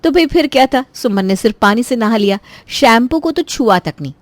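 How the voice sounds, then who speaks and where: Casting: female narrator for cartoon stories, chatty scripted delivery, high pitch, no room echo. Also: lively intonation, a little fast. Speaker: story narrator.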